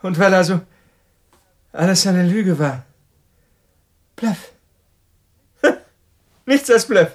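An actor playing an agitated male scientist in a radio drama, halting and intense, repeating himself. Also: sits high, animated, full character voice. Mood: hysterical relief. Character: Peter, agitated male scientist shaken by a death threat. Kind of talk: German radio drama acting.

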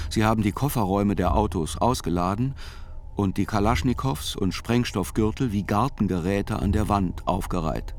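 Radio play narrator: probing, dryly ironic chronicler, lowish pitch, measured scripted delivery. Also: male voice, darkish tone. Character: probing, wry male chronicler of a trial.

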